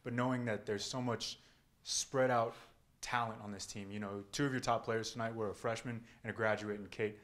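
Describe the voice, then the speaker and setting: A man's voice: lowish voice, steady tone, normal speed, fairly bright tone. A man, a reporter, asking questions at a press conference, hesitant and stumbling over names.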